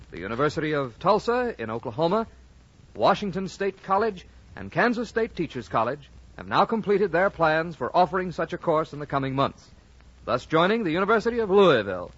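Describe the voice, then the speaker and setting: Lively-pitched American man announcing on vintage radio. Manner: formal, measured diction. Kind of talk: 1940s radio announcing, scripted. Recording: old muffled radio broadcast, faint music.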